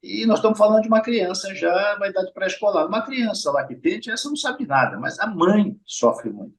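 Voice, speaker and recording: A man's voice: high-pitched, expressive, a little fast. Middle-aged Brazilian man, patient doctor. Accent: Brazilian Portuguese. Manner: explains patiently, often adds tag questions and addresses listeners directly. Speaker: heart surgeon guest. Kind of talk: explanatory conversational interview talk.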